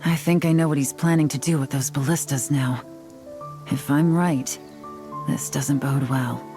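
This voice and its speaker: Serious game investigator lady, lowish voice, measured and analytical, bit of music behind. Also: fairly even intonation. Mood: grave, analytical. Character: serious, deducing female investigator.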